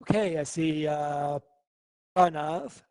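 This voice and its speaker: Male instructor, slightly high voice, dry close sound, easygoing explainer. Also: takes it slowly, no echo. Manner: relaxed, thinking aloud with fillers. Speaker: coding class instructor. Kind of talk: live online class.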